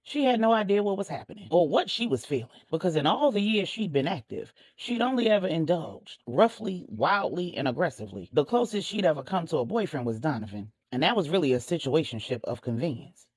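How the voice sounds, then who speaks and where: A woman's voice: low-pitched, big pitch swings, fairly quick, few pauses, darkish tone, breathy edge, no echo. A woman narrating a story expressively as a storyteller, with a conversational touch.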